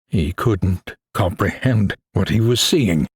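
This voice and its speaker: A man reading a suspenseful adventure story as an audiobook narrator, in a grave, deliberate, dramatic manner. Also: lowish voice, lively intonation, a little mellow, breathy edge, dry recording, studio quality.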